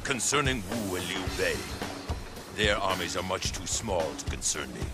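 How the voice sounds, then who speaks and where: A commanding, ambitious warlord from a video game, speaks in a low voice with wide, dramatic swings of pitch. He is grave and measured, like a proud orator. Music plays prominently behind him. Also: lively intonation. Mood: pensive, stern.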